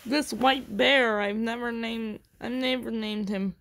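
Casual amateur vlogger, female, high-pitched with gravelly fry, halting show-and-tell delivery. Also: fairly even intonation, a bit bright. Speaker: amateur video creator and character voicer. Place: room at home. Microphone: handheld phone.

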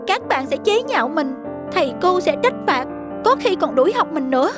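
A very high, fretful cartoon schoolboy voiced by a woman, chubby, guilty and unsure of himself. He speaks as if questioning himself, with music clearly underneath. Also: sing-song, fairly quick. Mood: worried. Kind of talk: cartoon dubbing. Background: prominent music.

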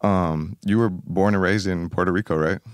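A man's voice: deep voice, steady tone, moderate pace, clean tone, no room sound. A man hosting a podcast in a recording studio, friendly and eager, with many hesitant fillers. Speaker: podcast host. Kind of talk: casual interview hosting.

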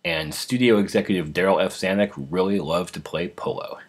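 A man with a husky voice, introducing old radio programs as the host. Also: low-pitched, sing-song, fairly quick.

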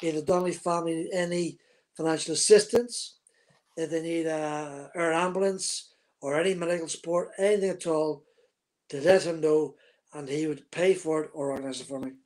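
A man's voice: on the high side, fairly even intonation, moderate pace, a little mellow. A man, a guest on a video call telling a vivid, detailed story from his racing days. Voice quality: husky. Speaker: guest, former racing driver. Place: room at home, indoors. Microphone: webcam on a video call.

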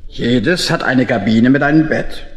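An actor playing a hearty, persuasive water-mouse boat builder in a children's audio play, jovial and eager. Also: male voice, mid-range voice, measured pace, dull audio, close to an everyday voice. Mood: coaxing, eager.

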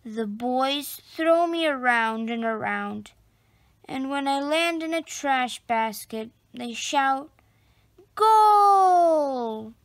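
A woman reading a children's picture book aloud expressively, with deliberate phrasing and dramatic pauses. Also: high voice, a bit bright, dry recording. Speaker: storybook reader.

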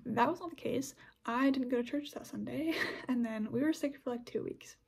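Breathy woman in her twenties, slightly high pitch, chatty podcast host who rambles and restarts. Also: moderate pace, darkish tone. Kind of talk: casual scripture discussion podcast.

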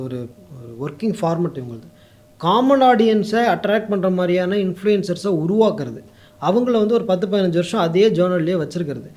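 Fast, high-voiced Tamil Nadu man. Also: a little mellow. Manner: code-mixes English words into speech. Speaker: interview guest, political YouTube commentator. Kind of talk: opinionated interview talk.

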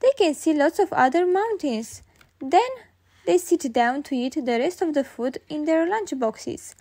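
A woman reading children's stories aloud in an expressive way, singsong in the refrains. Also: high voice, a little slow. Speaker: children's storybook reader. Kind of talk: read-aloud narration for young children.

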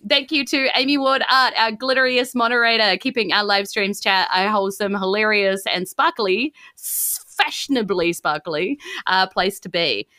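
A woman's voice: mid-range voice, moderate pace, bright, forceful tone, dry recording, studio quality. A woman with a New Zealand accent hosting a podcast, giving chatty thanks and announcements in a gushing, appreciative way. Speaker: host and game master of a D&D podcast.

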